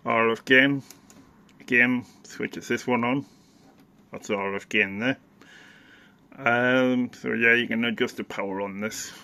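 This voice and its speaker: A man talks through a piece of gear in a casual, unscripted way. His pace is slow and halting, and his voice has a creaky, gravelly edge at an average male pitch. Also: steady tone, bright, forceful tone. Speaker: hobbyist presenter. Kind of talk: casual off-the-cuff product demonstration.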